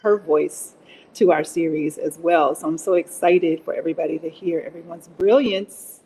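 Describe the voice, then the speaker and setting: A woman's voice: deep voice, fairly even intonation, moderate pace, mellow, slight background noise, low-quality recording. A woman speaking effusively and enthusiastically as a guest in a conversational interview. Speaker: actress and singer, interview guest.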